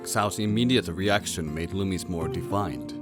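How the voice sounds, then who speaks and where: A man with a low voice narrates a scripted drama. He reads as the storyteller, setting out scenes and feelings. Music plays clearly behind him. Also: normal speed.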